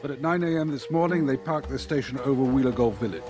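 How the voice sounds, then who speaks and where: An actor playing a cynical middle-aged mercenary fixer in a video game, briefing in a dry, matter-of-fact way. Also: male voice, mid-range voice, fast, natural voice. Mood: businesslike. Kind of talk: video game cutscene acting. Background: music.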